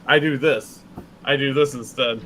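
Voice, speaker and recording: A middle-aged man hosts a podcast with a bright, forward voice, rambling eagerly and repeating his praise. Faint noise sits under the recording. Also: mid-range voice, fairly even intonation, normal speed, rough recording. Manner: enthusiastic, rambling, repeats himself. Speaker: podcast host. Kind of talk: casual podcast chat.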